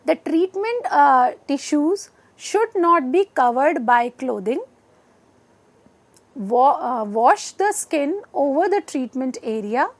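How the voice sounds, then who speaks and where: A woman in her thirties with an Indian accent, giving an educational lecture in a halting, deliberate way as she reads from slides. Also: high-pitched, expressive. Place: indoor studio set. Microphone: lapel mic.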